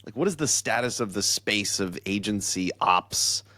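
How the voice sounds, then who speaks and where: A middle-aged man hosting a casual podcast chat, excitable and joking, rambling through his questions. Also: lowish voice, moderate pace, no room sound, studio quality. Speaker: podcast host.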